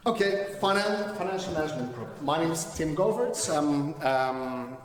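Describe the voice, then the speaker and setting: Belgian-accented male presenter, halting delivery, distant hall mic. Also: a little high, expressive. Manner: hesitant, many fillers and restarts. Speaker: financial advisor presenting at a seminar. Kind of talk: seminar presentation. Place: large echoey hall. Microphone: distant room mic. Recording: echoey hall recording from far off.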